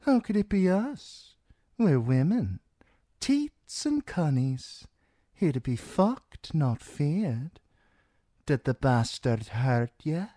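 A man voicing a mocking, menacing, bawdy washerwoman in an audiobook, with drawn-out colloquial taunts. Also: a little high, big pitch swings, dark tone, no room sound, clean studio sound, pitched above the performer's own voice, exaggerated voice. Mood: bawdy scorn. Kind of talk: dramatic audiobook character acting.

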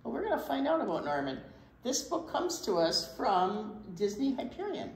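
A woman in her sixties reading a picture book aloud to children, with dramatic pauses and emphasis. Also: on the high side, big pitch swings, a little mellow. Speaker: children's storytime reader. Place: indoor children's library room. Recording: roomy space with faint background noise.

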